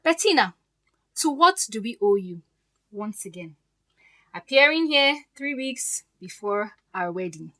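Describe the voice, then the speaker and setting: A woman's voice: mid-range voice, sing-song, a little slow, bright, forceful tone. A woman acting in an audio drama as a poised, resolute fiancée who speaks with pointed, sarcastic politeness. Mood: cool, cutting.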